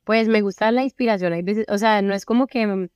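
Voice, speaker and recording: A young Colombian woman with a Colombian Spanish accent, chatting casually as an interview guest, relaxed and full of fillers. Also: medium pitch, a little fast, clear, resonant tone, no echo, dull audio. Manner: relaxed, many fillers like "o sea" and "como". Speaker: singer-songwriter guest. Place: friends' apartment.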